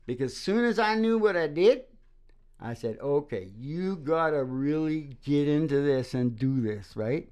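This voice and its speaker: A man's voice: on the high side, animated, unhurried, a little mellow, clear, resonant tone. A man who performs and hosts a music show, chatting casually and rambling as he interrupts himself. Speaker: singer-guitarist hosting a music show. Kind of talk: casual rambling chat between live songs. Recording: dull lo-fi sound with acoustic guitar.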